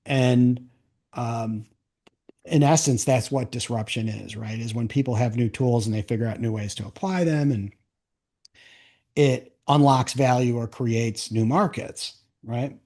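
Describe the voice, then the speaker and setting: A man's voice: mid-range voice, a little slow, darkish tone. Man hosting a casual podcast, thinking aloud. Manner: thinks aloud in rambling, enthusiastic riffs, often checking with "right?". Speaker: podcast host and interviewer. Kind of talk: casual conversational interview.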